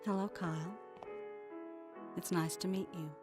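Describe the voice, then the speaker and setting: A woman playing a kind, trusting nurse in an audio drama, gentle and reassuring. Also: lowish voice, steady tone, a little slow, soft background music, lightly acted. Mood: kindly. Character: kind, trusting female nurse, new on ward.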